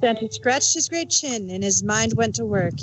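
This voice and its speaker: A woman narrator reading a storybook tale in rhyming verse with an expressive read-aloud cadence. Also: on the high side, moderate pace, noisy background.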